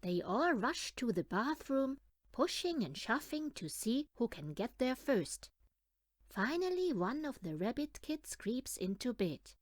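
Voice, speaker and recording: Female children's audiobook reader, lively swooping pitch, unhurried delivery, close dry sound. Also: no echo. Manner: animated, deliberate storytelling for young children. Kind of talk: expressive read-aloud of a children's picture book.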